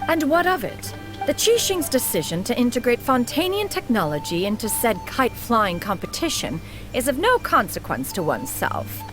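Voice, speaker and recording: A woman's voice: fairly high pitch, big pitch swings, normal speed. An actress voicing an aloof, ancient female crane spirit who speaks in a pompous, archaic way. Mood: haughty. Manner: pompous archaic speech, calls herself "one". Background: game music.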